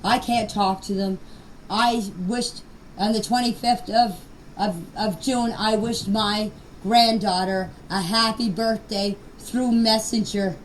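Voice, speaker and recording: A woman's voice: medium pitch, steady tone, slow, recorded from a distance, a little hiss or hum, low-quality recording. An older woman speaking informally in voice messages, plain-spoken, earnest and emphatic. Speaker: grandmother of missing children. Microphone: phone voice message.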